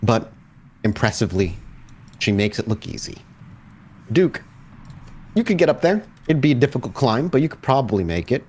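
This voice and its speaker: A man's voice: medium pitch, big pitch swings, moderate pace, long pauses, darkish tone, slight background noise. A man improvising narration as the game master of a tabletop roleplaying game, descriptive and accommodating with players.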